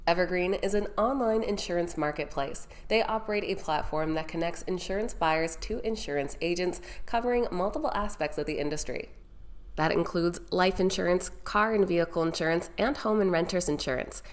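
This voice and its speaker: A woman's voice: on the low side, a little fast. Nasal, congested woman presenting stocks. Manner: upbeat, direct address to viewers. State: congested from a sinus infection. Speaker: stock market video host. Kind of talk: scripted market news presenting.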